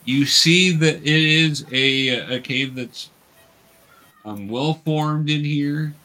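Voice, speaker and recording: A man's voice: fairly high pitch, slow pace, bright, forceful tone. A man guiding players through an online tabletop role-playing game, explaining patiently step by step with some hesitation. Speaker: dungeon master, teacher.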